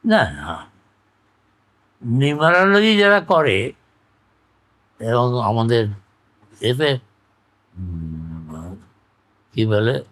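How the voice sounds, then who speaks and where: An older man, reminiscing in conversation as an interview guest, measured and speaking in short broken phrases. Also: mid-range voice, sing-song, fairly bright tone, poor audio. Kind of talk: reflective conversational recollection.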